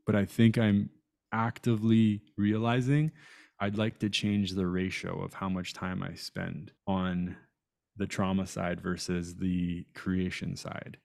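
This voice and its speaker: Deep gravelly-voiced man, reflective. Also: steady tone, slow, a little mellow. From Iran, raised in Canada. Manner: thoughtful, searching, hesitant phrasing. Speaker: interview guest. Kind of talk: reflective conversation.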